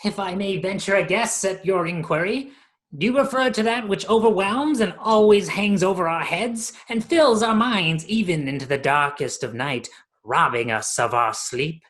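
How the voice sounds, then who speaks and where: A woman's voice: on the low side, normal speed, natural voice. A woman voicing a hapless, wordy underling in a mock-archaic radio play, formal and theatrical. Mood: gloomy, grandiose. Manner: florid, theatrical.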